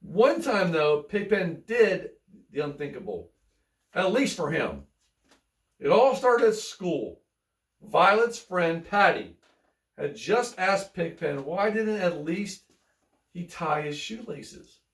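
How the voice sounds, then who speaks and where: A man's voice: sits high, big pitch swings, slow pace. A man reading a children's picture book aloud in a folksy, playful way, adding chatty asides and repeating himself. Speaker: bedtime story reader. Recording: roomy, echoey, distant microphone.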